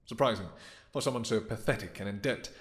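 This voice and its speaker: A man's voice: lowish voice, quick pace, close to an everyday voice. A man playing a possessive, courtly vampire king in a romantic fantasy audio roleplay, speaking silky endearments with mock-polite menace. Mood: cold courtly disdain.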